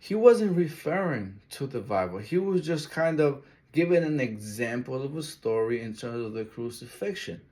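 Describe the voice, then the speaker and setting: A man's voice: mid-range voice, sing-song, moderate pace, few pauses, darkish tone. A man from Ecuador talks through a reaction video in an ordinary mid-range voice. He is emphatic and loose, and he circles back to the same words again and again. His delivery is casual and conversational. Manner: emphatic, repeats key words, street-casual phrasing.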